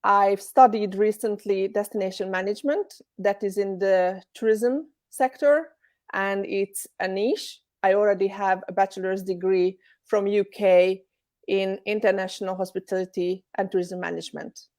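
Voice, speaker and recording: A woman's voice: mid-range voice, steady tone, moderate pace, a little mellow. A woman from Hungary with a Hungarian accent, answering interview questions over a video call in a webinar. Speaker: guest speaker.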